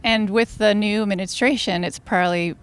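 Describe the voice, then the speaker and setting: A woman's voice: mid-range voice, steady tone, fairly quick, clear, resonant tone. A woman hosting a casual interview, speaking informally and agreeably. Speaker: interviewer, radio host.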